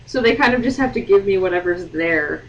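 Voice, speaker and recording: A woman's voice: lowish voice, animated, fairly quick, highs cut off. A woman host chatting casually and cutting in with sarcastic commentary, indoors. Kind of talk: casual chat, heckling commentary. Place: sewing room, indoors. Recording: distant mic, background noise.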